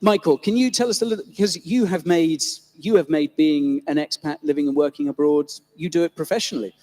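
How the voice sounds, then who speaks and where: Guy hosting a live crypto panel, high voice, big echoey hall, kinda rambly intros. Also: brisk, dark tone. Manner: rambling, self-correcting introductions. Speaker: host and moderator. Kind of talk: live panel moderation on stage. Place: event stage before a live audience. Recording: long venue reverb.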